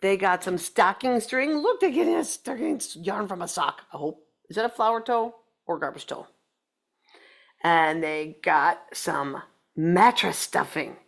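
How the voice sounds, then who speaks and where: Animated, unhurried woman reading a storybook. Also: fairly low pitch. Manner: playful, talks to young listeners. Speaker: storybook reader. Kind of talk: expressive read-aloud of a children's picture book with chatty asides.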